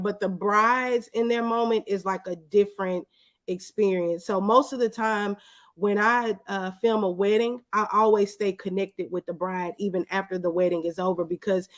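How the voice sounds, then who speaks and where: Conversational woman guest, mid-pitched voice. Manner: anecdotal, acts out quoted exchanges. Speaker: guest, videographer. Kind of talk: conversational interview answers.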